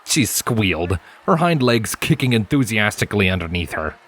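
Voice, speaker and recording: A man's voice: on the low side, big pitch swings, normal speed, background noise. A man reading scripted story narration as an audiobook narrator. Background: background music.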